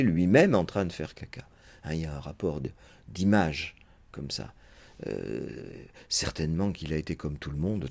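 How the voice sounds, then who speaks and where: Brief: male psychoanalyst, very low husky voice, muses aloud through a lecture with many pauses and fillers. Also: mellow. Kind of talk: unscripted spoken lecture.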